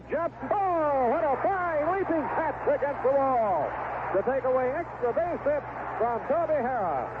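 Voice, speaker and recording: A man's voice: very high-pitched, phone-quality sound. A man calling baseball play-by-play live on the radio, heard through a noisy old broadcast recording with stadium ambience behind him. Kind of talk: live radio sports commentary.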